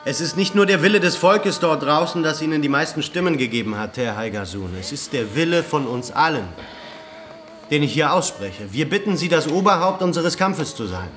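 An actor playing a resolute leader who rallies villagers to resist, with impassioned oratory, in a radio drama. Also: male voice, a little high, lively intonation, normal speed, a bit bright, a little hiss or hum. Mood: earnest, urgent. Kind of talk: German radio drama acting.

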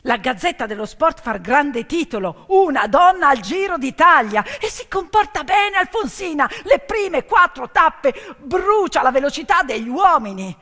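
A woman telling a story theatrically in short emphatic phrases with repetition, moving between hushed and loudly projected delivery. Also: sits high, big pitch swings, a little slow, few pauses. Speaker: stage storyteller. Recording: long hall reverb, music behind. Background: music, piano accompaniment.

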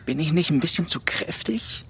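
A man's voice: fairly high pitch, animated, normal speed, fairly bright tone, a little hiss or hum. A man playing a timid, chubby thirteen-year-old boy in a radio drama, hesitant and meek. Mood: uneasy. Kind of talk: German radio drama acting.

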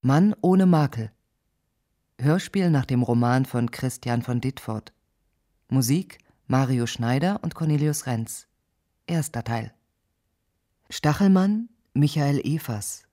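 Slow, mellow-voiced man announcing. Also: medium pitch, lively intonation. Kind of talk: scripted radio drama credits announcement.

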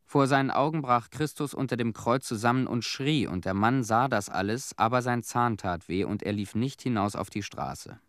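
An actor playing a haunted disaster cleanup veteran in a radio play, telling his story in short, measured, somber phrases. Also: mid-range voice, a bit bright, no echo, clean studio sound, close to an everyday voice. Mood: somber, bitter. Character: haunted Chernobyl cleanup veteran, a man bearing witness.

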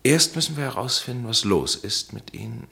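An actor playing a blunt, dryly ironic, authoritative psychiatrist in a German radio play, with a deliberate, lecturing delivery. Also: male voice, medium pitch, expressive, creaky, sharp tone. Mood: dry, measured.

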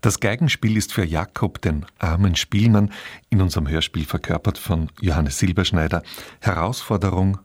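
A man reads a scripted cultural introduction as a radio presenter, measured and articulate. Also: low-pitched, no echo. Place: radio studio.

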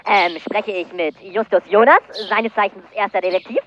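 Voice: mid-range voice, moderate pace, a bit bright, a little hiss or hum, close to an everyday voice. A performer voicing a cranky male gold dwarf villain who threatens and groans in a comedic fan audio drama. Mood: menacing, grumbling.

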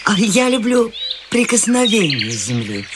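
A woman voicing a free-spirited, whimsical elderly woman in a radio play, speaking in a lilting, gently ironic way. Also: medium pitch, very animated, unhurried, heavy background noise. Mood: dreamy. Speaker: eccentric old woman Maud.

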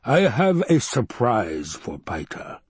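A man voicing an obese, sinister male baron with a rumbling basso voice, slow and taunting, in an audiobook. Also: huge pitch swings, husky, no echo, full character voice. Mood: gloating. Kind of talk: audiobook character voice.

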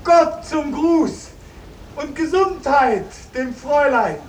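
High-voiced, formally courteous soldier Simon. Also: male voice, animated, slow pace, heavily acted. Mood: courteous, teasing. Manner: stiffly polite, speaks in third person. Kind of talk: 1950s German radio drama acting. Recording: roomy, distant 1950s radio recording, faint hiss.

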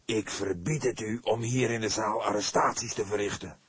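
A man voicing a tense, fervent congregation leader of about sixty in an audiobook, breathy, peremptory and unctuous. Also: lowish voice, fairly even intonation, moderate pace, dry recording, pitched above the performer's own voice. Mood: stern, suspicious.

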